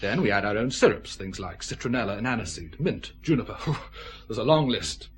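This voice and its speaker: An actor playing a genial, proud boss of a small firm, chatty and expansive in an audio drama. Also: male voice, on the low side, lively intonation, moderate pace, close to an everyday voice. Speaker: actor in a radio detective drama.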